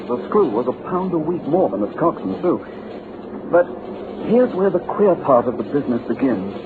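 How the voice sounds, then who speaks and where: An earnest young English clerk in a vintage radio play, talkative and polite. His mid-range voice is animated in pitch. It comes through a thin, phone-like band with noticeable hiss. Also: normal speed. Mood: pleased. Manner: chatty, polite, slangy. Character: Hall Pycroft, chatty, earnest young London clerk. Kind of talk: old-time radio drama acting. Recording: tinny old radio recording with hiss.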